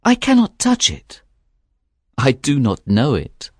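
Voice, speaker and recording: A woman's voice: very deep voice, wildly swooping pitch, takes it slowly, husky, no room sound, clean studio sound, voice pushed down, heavily acted. A woman reading a storybook aloud, voicing a young male fisherman who pleads earnestly. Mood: pleading.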